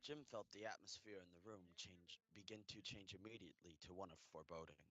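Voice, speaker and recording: A man playing an earnest storyteller who narrates a radio play in a measured, theatrical way. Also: low voice, fairly bright tone, low-quality recording. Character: earnest storyteller narrator addressing dear listeners.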